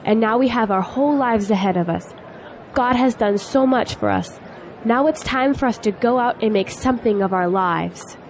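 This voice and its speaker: A woman's voice: a little high, moderate pace, close to an everyday voice. An actress playing a spirited young female graduate in an audio drama, teasing yet earnest. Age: young adult. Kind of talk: scripted audio drama dialogue. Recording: faint noise, outdoor crowd ambience.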